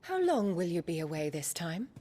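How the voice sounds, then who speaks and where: A woman's voice: lowish voice, sing-song, moderate pace, warm tone. An actress with a British accent playing a cold, scornful middle-aged noblewoman in a video game, with an imperious, clipped delivery. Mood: cool. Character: cold, haughty, scornful noblewoman, archduke's wife. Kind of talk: video game cinematic acting.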